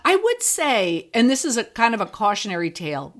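A woman's voice: fairly high pitch, wildly swooping pitch, a little fast, fairly bright tone. A woman hosting a podcast, talking casually and conversationally. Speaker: podcast host; fiction podcast creator.

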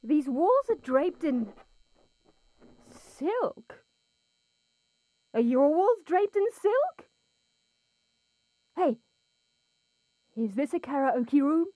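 High, swoopy, dreamy scatterbrained woman. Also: very animated, very slow, long pauses, dark tone, no echo, heavily acted. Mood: groggy, puzzled. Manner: dreamy, easily distracted chatter. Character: groggy, scatterbrained, playful woman waking in a capsule. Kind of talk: audio drama acting.

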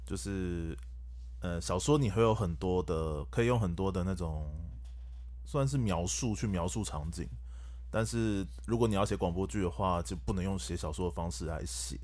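A man's voice: low voice, a little mellow. A man who writes radio drama scripts, talking hesitantly and wryly in a podcast chat.

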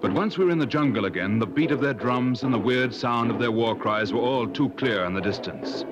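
An actor playing a grave adventurer who narrates a perilous expedition in measured, literary 1950s radio style. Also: male voice, mid-range voice, expressive, natural voice. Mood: grave, suspenseful. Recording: muffled old radio broadcast recording. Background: dramatic music.

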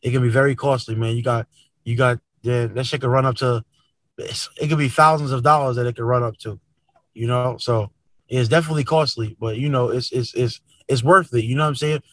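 A man's voice: medium pitch, fairly even intonation, fairly quick, a bit bright, no echo. A man talking casually as an interview guest, using lots of slang and tags like "man" and "you know what I'm saying".

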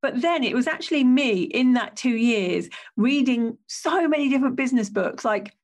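A woman hosting her own business podcast, with a slightly croaky voice and a chatty, self-correcting way of talking. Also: sits high, expressive, moderate pace, darkish tone. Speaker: podcast host.

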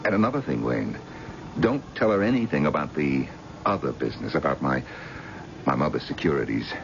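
An actor playing a selfish, pushy husband, breezy and wheedling, in a 1970s radio drama. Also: male voice, extremely low pitch, animated, brisk, creaky, exaggerated voice. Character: pushy, selfish, blustering husband in money trouble. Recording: muffled, noisy old radio recording.

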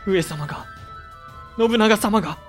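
A man's voice: sits high, slow pace, soft background music. An actor playing a distraught tea master mourning his lord in a Japanese period audio drama, with formal archaic speech. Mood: anguished.

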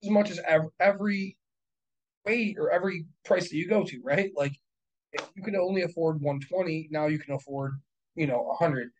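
A man in his thirties with a slightly high voice talks through rental math in a casual chat. He is blunt and profane and reckons the numbers out loud as he goes. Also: normal speed, muffled recording, slight background noise. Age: 30s. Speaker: real estate investor.

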